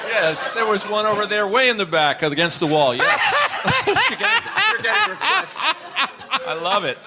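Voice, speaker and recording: A man's voice: sits high, big pitch swings, moderate pace. A man co-hosting a podcast before a live audience, bantering, chuckling and teasing as he takes questions. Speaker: podcast co-host and moderator. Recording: noisy live show with audience.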